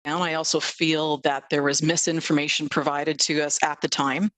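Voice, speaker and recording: A man's voice: on the high side, flat delivery, normal speed, pushed and bright, no room sound. A man making formal meeting remarks as a city councillor.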